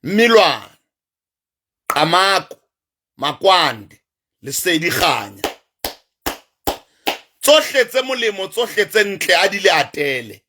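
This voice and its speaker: A South African man with a Sesotho-influenced accent, a traditional healer addressing his online followers in a slow, emphatic, repetitive, preacher-like way. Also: high-pitched, sing-song, sharp tone, slight background noise. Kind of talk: livestream address to viewers.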